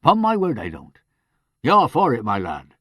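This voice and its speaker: A man voicing a gruff, exasperated middle-aged police superintendent. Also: medium pitch, huge pitch swings, moderate pace, a bit bright, dry recording, studio quality, pitched above the performer's own voice. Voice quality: gruff, blustering.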